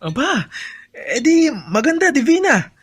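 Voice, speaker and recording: A man playing a cheerful, generous young hacienda owner in a radio drama, courteous and expressive, with a Filipino accent. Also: very high-pitched, measured pace, heavily acted.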